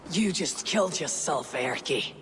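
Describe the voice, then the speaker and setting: A woman playing a bold, gruff Viking raider in a video game, speaking tersely and with command. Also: sits low, lively intonation, unhurried, heavy background noise. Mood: threatening. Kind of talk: video game dramatic acting.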